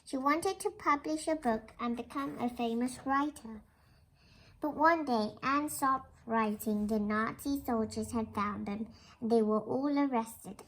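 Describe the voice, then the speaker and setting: A woman's voice: a little high, poor audio. A young girl reading a children's storybook aloud as narration. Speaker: reader of a children's book. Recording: clear background noise.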